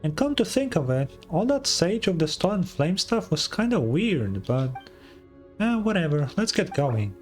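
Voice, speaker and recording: A man's voice: high-pitched, sing-song, moderate pace, voice pushed up. A man voicing a small, chirpy, childlike female fairy companion in a video game, bubbly and chatty, with game music behind. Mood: thoughtful, upbeat. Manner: bubbly, chatty, refers to self by name.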